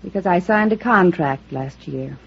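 An actress playing a bitter, world-weary female comic in a 1950s radio drama, sardonic and deadpan. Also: lowish voice, moderate pace. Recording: muffled, noisy 1950s radio recording.

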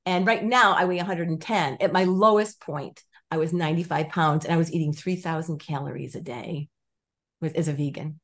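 A woman in her fifties, a guest on a video call, telling her story with lively, restarting sentences and acted-out quotes, gesturing as she talks. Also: sits low, fairly quick. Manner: animated storytelling with many restarts and quoted voices. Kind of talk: casual interview chat. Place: home room with stone wall, indoors.

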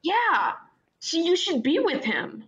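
An actress playing a supportive, teasing younger sister in a radio play. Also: female voice, very animated, slow, a bit bright, full character voice. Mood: reassuring. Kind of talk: radio play acting, naturalistic dialogue. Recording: dull, muffled low-fidelity microphone.

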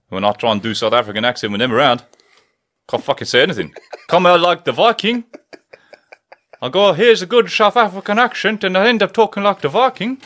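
A man's voice: sits high, animated, moderate pace, pauses often, fairly bright tone. A man, hosting a casual chat in a wood-panelled study and swearing freely as he rambles through stories. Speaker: podcast host.

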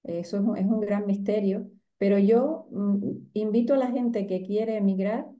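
A middle-aged woman with a softened Cuban accent, speaking reflectively as a guest in an interview over a video call. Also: medium pitch, fairly even intonation, a little slow, dull audio. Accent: Cuban, softened by years abroad. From Cuba. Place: indoor room at home, on a video call.

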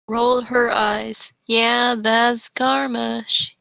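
A woman with a high voice talks at a slow pace. She banters casually and jokingly as a co-host, recorded dry with no room sound. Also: flat delivery, creaky, pushed and bright, dry recording, rough recording.

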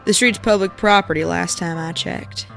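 An actor playing a cocky, foul-mouthed young sheriff in a Western audio drama, with a creaky voice and folksy, combative frontier talk. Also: mid-range voice, fairly even intonation, normal speed, a bit bright, soft background music.

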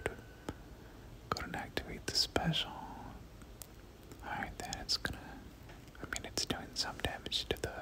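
A person whispering ASMR game commentary in a breathy voice, often repeating words and short phrases over and over. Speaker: gaming video host.

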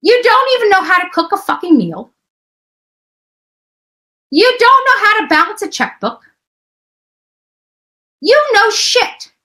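A woman's voice: very high-pitched, very animated, unhurried, pauses often, sharp tone. A middle-aged woman on a home livestream, ranting casually in a brash, profane, emphatic way. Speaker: livestream host. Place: small indoor room at home.

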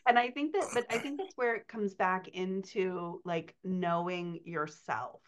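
A woman's voice: lowish voice, measured pace. A middle-aged American woman chatting casually as a coach and podcast co-host, on a slightly muffled video call. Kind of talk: casual Q&A chat.

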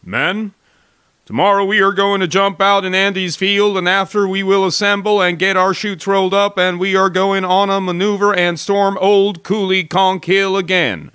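A man's voice: high voice, steady tone, normal speed, sharp tone, smooth, no room sound, studio quality, pitched above the performer's own voice. An American man voicing a stern army captain who bellows commands in an audiobook. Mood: commanding.

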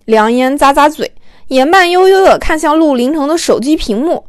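High-pitched woman narrating a story. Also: lively intonation, normal speed, dry recording, clean studio sound. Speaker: audiobook narrator. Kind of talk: animated storytelling narration with acted dialogue.

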